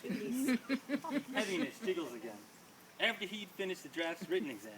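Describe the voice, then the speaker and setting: A man with a slightly high, bright and forceful voice reads poetry to a live audience. His delivery is emphatic and rhythmic, mixed with casual asides. The mic sits at a distance, with some faint noise around him. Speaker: poet reading own poems to an audience. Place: small live reading before an audience.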